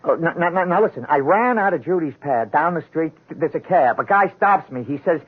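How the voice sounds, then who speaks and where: A hapless, earnest street drunk in a vintage radio mystery. His husky voice jumps around as he stammers in rough slang. The sound is muffled and thin. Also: a little high, expressive, brisk. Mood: excited, stammering. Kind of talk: 1970s radio drama acting.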